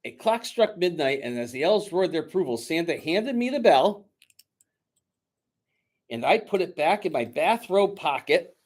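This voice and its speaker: A man reading a picture book aloud dramatically to children, pausing to coax them with questions like a teacher. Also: a little high, very animated. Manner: dramatic, teacherly, coaxing young listeners with questions.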